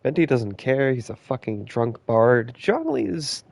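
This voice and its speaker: A woman game streamer with a husky voice, muttering casual, sardonic commentary. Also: very deep voice, big pitch swings, measured pace, darkish tone.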